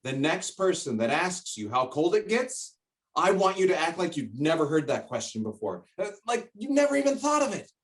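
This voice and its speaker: A man's voice: a little high, very animated, far from the mic. A man playing a bossy, greedy middle-aged shopkeeper father in a stage play, blustering and drawing out his words. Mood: coaxing. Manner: blustering, emphatic, drawn-out words. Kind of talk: stage play acting over video call.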